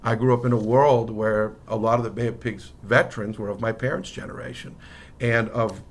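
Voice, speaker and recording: A middle-aged man from Miami with an American accent, telling anecdotes as an interview guest in a halting, conversational way that often circles back to rephrase. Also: on the low side, steady tone. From Miami, United States. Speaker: interview guest, history professor. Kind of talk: casual interview, anecdotal storytelling. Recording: noticeable background noise.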